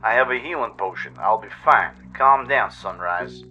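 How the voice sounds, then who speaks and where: A man voicing a calm, reassuring male zebra companion with a cool, composed manner in a radio play. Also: lowish voice, normal speed, highs cut off, soft background music, close to an everyday voice.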